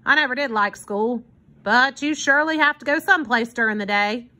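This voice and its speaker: A woman voicing a gruff, grumbling old male hobo with a soft heart in a storybook, protesting and blustering. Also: a little high, fairly quick.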